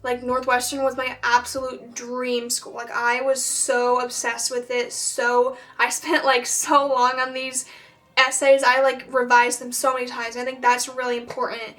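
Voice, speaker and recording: Woman, late teens, high voice, flat even intonation, mild stutter, chatty with lots of fillers. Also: unhurried, far from the mic. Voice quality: has a stutter. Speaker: vlogger. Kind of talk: casual chat to camera.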